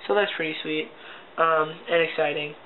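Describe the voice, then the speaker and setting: A man's voice: a little high, steady tone, normal speed. A young man with a cracking voice, talking casually and upbeat in a video diary, full of ums and likes. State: voice cracking often. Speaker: vlogger. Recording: tinny lo-fi audio with faint hiss.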